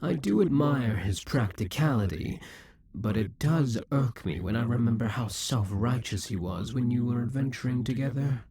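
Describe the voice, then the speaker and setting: A man voicing a possessive, scheming male demon king in a scripted audio roleplay, speaking in slow, breathy half-whispers. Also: mid-range voice, animated, mellow. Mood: gloating, possessive.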